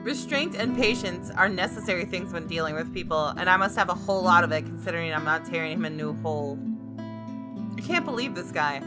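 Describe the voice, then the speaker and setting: A woman narrates a visual novel in the first person as she plays it. Her voice is slightly low and expressive, with guitar game music clearly behind it. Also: fairly quick. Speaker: gamer reading a visual novel aloud.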